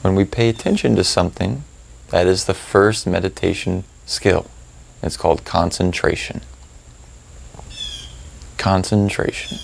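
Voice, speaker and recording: Slow, low-voiced man guiding meditation. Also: gravelly. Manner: calm, unhurried, with long pauses. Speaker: meditation teacher. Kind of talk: guided meditation instruction.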